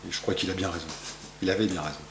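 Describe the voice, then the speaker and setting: A man's voice: sits low, steady tone, very fast, a bit bright, reverberant, slight background noise. A man in his fifties from France, a painter answering interview questions in his gallery, rambling with many fillers and restarts. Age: 50s. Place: art gallery, indoors.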